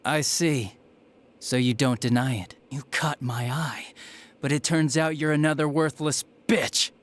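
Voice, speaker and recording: An actor playing a smug, spiteful young detective villain in a video game, with a sneering, flippant and theatrical manner. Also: male voice, a little high, steady tone, measured pace, lightly acted. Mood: bitter menace. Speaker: police detective. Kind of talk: dramatic video game voice acting.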